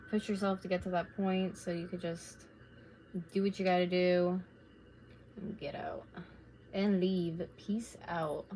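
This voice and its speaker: A woman in her late twenties, slightly raspy from talking, chatting casually and rambling while she crafts at home on a live stream. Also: fairly low pitch, darkish tone, a little hiss or hum, poor audio. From North America. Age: late 20s. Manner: rambling, chatty, laid-back with filler words.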